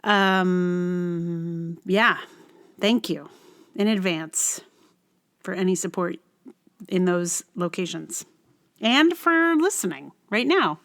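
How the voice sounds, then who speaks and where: A woman's voice: on the low side, expressive, takes it slowly, long pauses, fairly bright tone. Casting: female podcast host, casual and rambling, reflective, hesitant, trailing off mid-thought. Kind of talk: casual rambling introduction to a song.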